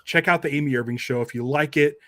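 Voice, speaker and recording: A man's voice: a little high, quick pace, pushed and bright. A man co-hosting a podcast, chatting casually with an informal, dryly joking manner. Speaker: podcast co-host. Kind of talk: casual chat.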